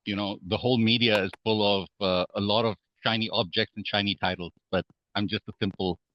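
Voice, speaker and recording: Low-voiced man, muffled dry sound. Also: steady tone, dry recording. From Hong Kong. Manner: measured, many fillers and restarts. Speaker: guest real estate investor. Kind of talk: podcast interview.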